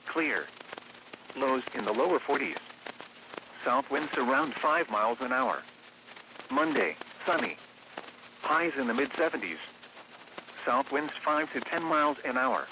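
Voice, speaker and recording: Over clearly noisy weather radio reception, a synthetic male announcer with an American accent reads out a forecast. The delivery is measured and formulaic, with an impersonal automated feel and a medium pitch.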